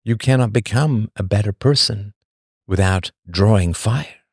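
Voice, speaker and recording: A man hosting a podcast, reading a scripted monologue full of rhetorical questions and emphatic pauses. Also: lowish voice, husky, no room sound, studio quality. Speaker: podcast host.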